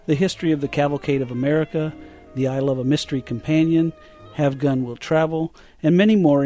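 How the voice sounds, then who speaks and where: A man's voice: mid-range voice, warm tone. A man hosting a podcast and interviewing in a conversational style. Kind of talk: conversational radio-history interview.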